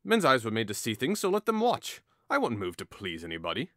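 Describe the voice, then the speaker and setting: A man's voice: medium pitch, huge pitch swings, fairly quick, sharp tone, dry recording, studio quality, exaggerated voice. A man playing a hot-headed, mocking young nobleman in an audio drama, with a theatrical, taunting delivery. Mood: scornful, provoking.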